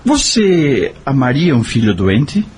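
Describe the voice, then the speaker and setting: A man's voice: mid-range voice, very animated, slow, a little mellow, a little hiss or hum. A man acting a troubled, skeptical boyfriend in an audio novel, blunt and questioning. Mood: probing.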